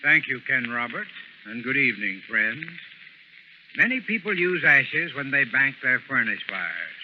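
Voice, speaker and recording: A man's voice: mid-range voice, big pitch swings, unhurried. A man giving formal, instructive scripted advice as a sponsor's expert on old-time radio. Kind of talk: scripted 1930s-40s radio advertising talk. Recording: dull vintage radio recording, some noise.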